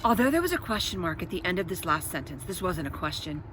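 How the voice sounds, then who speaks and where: A woman's voice: fairly low pitch, sing-song, fast, a bit bright, slight background noise. A woman reading a children's storybook aloud as host, expressively, with explanatory asides to her young listeners.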